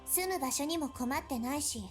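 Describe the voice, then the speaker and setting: A woman's voice: high-pitched, quick pace, natural voice. A woman playing a haughty, lonely young rich girl in a Japanese visual novel, with music behind her. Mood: indignant, haughty. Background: background music.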